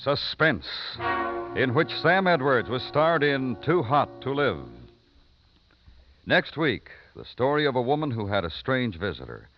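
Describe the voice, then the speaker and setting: A man reading scripted credits and previews as a 1950s radio announcer. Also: fairly low pitch. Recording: muffled vintage radio broadcast with music. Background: music.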